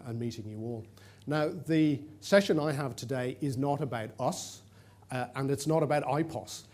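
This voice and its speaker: A man's voice: medium pitch, darkish tone. A middle-aged man from Ireland with an Irish accent, giving a chatty, self-deprecating talk to an audience in a conference room, with fillers and restarts. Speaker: conference presenter.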